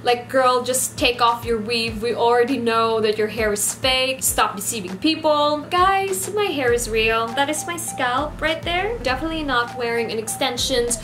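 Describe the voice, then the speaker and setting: A 27-year-old woman from the Philippines with a Filipino accent and Ilonggo as her first language, chatting casually to camera in an animated way as she lists facts. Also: fairly high pitch, moderate pace, few pauses. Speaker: vlogger. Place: small decorated room indoors. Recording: roomy echo, music behind.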